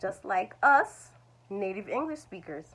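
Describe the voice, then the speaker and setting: A woman teaching a video lesson to language learners. She talks in a reassuring way and keeps coming back to the same comforting phrases. Her voice sits at an ordinary pitch for a woman. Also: very animated, measured pace, a bit bright, slightly rough. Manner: reassuring, repeats "it's okay". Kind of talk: talking-head video lesson.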